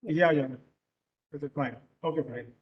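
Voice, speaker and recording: A man from Punjab with a Punjabi accent, giving an online lecture over a video call and pausing often with fillers. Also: a little high, lo-fi sound. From Punjab, India. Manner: hesitant, many filler pauses. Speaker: guest lecturer.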